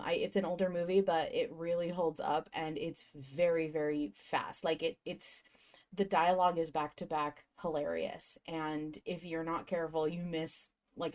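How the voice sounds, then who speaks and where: A woman's voice: low-pitched, darkish tone. A woman hosting a fan podcast in casual, effusive, enthusiastic chat. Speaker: podcast host.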